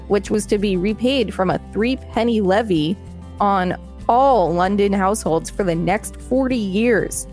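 A woman co-hosting a podcast, reading an article aloud and bantering in a deadpan, teasing way. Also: mid-range voice. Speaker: podcast co-host.

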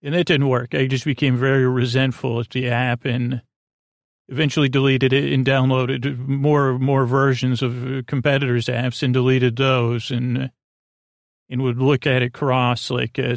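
A man hosting a sleep podcast with a meandering, goofy, halting monologue that drifts into tangents. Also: medium pitch, level tone, creaky, dry recording, clean studio sound. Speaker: podcast host. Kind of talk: rambling bedtime monologue introducing a sleep podcast.

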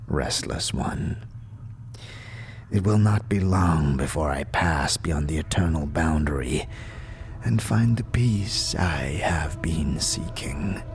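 A man voicing an old, dying, weary scribe in an audio drama, frail and breathy, speaking slowly and solemnly in archaic phrasing. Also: a bass voice, heavily acted. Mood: resigned, fading. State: sickly, coughing.

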